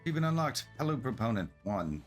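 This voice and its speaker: A man's voice: medium pitch, very animated, brisk. A man streaming a game, reading its text aloud and muttering casual asides. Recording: music behind the voice. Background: faint game music.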